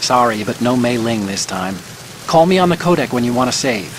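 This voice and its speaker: An American actor playing an earnest, brainy young hacker in a video game, guiding an agent in an articulate, slightly fussy way. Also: male voice, medium pitch, lively intonation, brisk, noisy background, lightly acted. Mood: earnest, reassuring. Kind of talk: video game cutscene voice acting.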